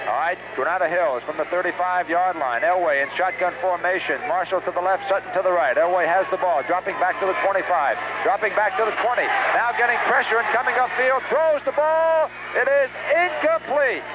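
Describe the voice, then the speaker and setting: A man's voice: sits high, brisk, hardly any pauses, dull audio, very noisy. An American man working as a radio sportscaster, calling a football game play by play in short, detailed phrases on an old 1970s broadcast. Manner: detailed running description in short clipped phrases. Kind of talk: live high school football radio commentary. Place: outdoor stadium game broadcast.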